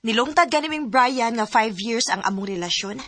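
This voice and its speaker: Bright, heartbroken young Cebuano woman. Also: on the high side, animated, normal speed, no echo. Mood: hesitant. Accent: Cebuano Filipino. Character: heartbroken young woman telling her love story, pleading, hesitant. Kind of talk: radio drama acting in Cebuano.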